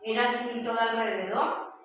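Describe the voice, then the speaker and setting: A woman with a Colombian accent giving an informal presentation, telling stories in a halting, conversational way. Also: on the high side, steady tone, a bit bright. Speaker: presenter sharing her hometown memories. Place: echoey indoor room with a small audience. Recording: very echoey room, distant mic, faint noise.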